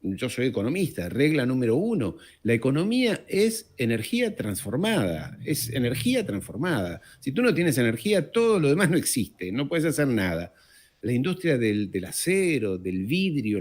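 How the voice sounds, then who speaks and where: A man's voice: medium pitch, sing-song, normal speed, husky. A middle-aged man from Uruguay and France giving conversational political commentary as an interview guest, stressing words emphatically and repeating them. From born in Uruguay, raised in France.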